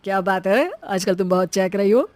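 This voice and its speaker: A woman's voice: mid-range voice, moderate pace, a little mellow, no room sound, lightly acted. A woman voicing a reasonable, teasing young husband in a cartoon story. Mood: reproachful, teasing.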